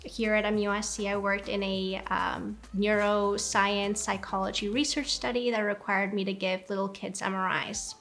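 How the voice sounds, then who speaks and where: A woman's voice: medium pitch, level tone, normal speed, hardly any pauses, a bit bright. A woman in her twenties, from Ecuador, giving a reflective, earnest documentary interview as a graduate student, with frequent ums. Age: 20s.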